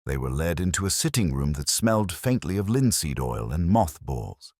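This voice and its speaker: A man's voice: deep voice, sing-song, moderate pace, a little mellow, dry recording, studio quality. A man reading a detective mystery story as scripted narration. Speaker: narrator and reader.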